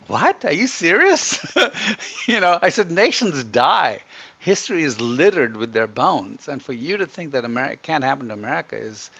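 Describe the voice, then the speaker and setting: A middle-aged man from Ghana giving earnest, opinionated interview answers, emphatic and halting as he restarts his sentences. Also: on the high side, creaky, a bit bright. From Ghana, grown up in Africa and the Middle East. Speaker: podcast guest. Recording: dull, muffled lo-fi connection.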